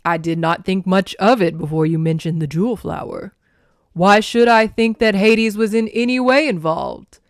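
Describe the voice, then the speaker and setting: A woman voicing a blunt, scornful male sea god with forceful, matter-of-fact delivery in a story reading. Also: mid-range voice, fairly even intonation, moderate pace, no echo, studio quality, lightly acted.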